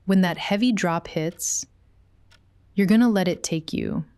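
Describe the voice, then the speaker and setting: A woman playing a possessive, quietly controlling therapist in an intimate ASMR roleplay, speaking softly and coaxingly. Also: lowish voice, dry recording, natural voice. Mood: soothing, hypnotic. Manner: soft, unhurried, coaxing.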